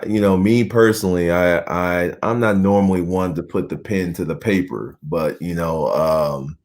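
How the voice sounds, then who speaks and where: Deep-voiced man chatting easily. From Nigeria, raised in Houston. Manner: relaxed and earnest, many "you know" fillers. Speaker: channel co-host, professional basketball player. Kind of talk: casual chat.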